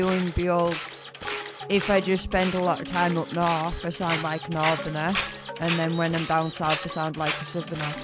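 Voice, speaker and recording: A young woman from England with a mixed northern and southern English accent, chatting casually while she plays a game, rambling with lots of filler words. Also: on the low side. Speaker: gaming streamer. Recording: loud background music.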